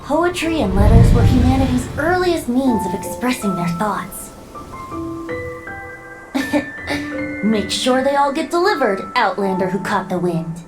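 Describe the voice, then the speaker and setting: A woman's voice: fairly high pitch, animated, measured pace, long pauses. A woman voicing a playful young female character in a video game, singsong and theatrical. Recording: loud game music behind.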